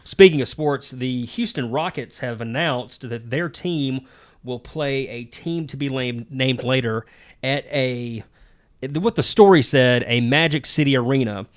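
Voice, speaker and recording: A relaxed man hosting a laid-back podcast, talking at an even, middling pitch. He chats in an easygoing way with frequent ums and uhs. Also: a little slow. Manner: relaxed, easygoing, many fillers. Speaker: podcast host. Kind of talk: casual podcast chat.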